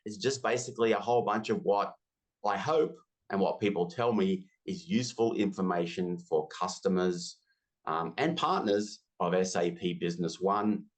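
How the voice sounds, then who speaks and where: A deep-voiced Australian man in his fifties talks casually in an interview. He is chatty and self-deprecating and slips into quick asides. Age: 50s. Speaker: interview guest, business software community founder.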